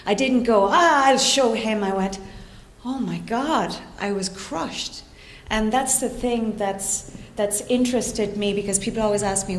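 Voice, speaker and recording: A middle-aged woman with an Irish accent telling her personal story to a live audience, hesitant and reflective, with dramatic repetition. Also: medium pitch. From Ireland. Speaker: guest speaker, orchestra conductor and composer. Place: indoor conference room before a live audience. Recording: echoey room with faint background noise.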